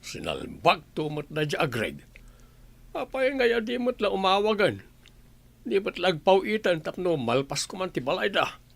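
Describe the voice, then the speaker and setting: An actor playing a gruff, suspicious, irritable brother-in-law in an audio drama, with a Filipino accent. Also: male voice, high-pitched, huge pitch swings, normal speed, no echo, heavily acted. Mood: grumbling. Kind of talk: Ilocano audio drama acting.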